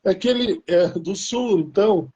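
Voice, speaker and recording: A man's voice: sits high, normal speed, mellow, husky, muffled recording. A Brazilian man with a Brazilian Portuguese accent, chatting casually in a rambling way with many restarts. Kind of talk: casual chat.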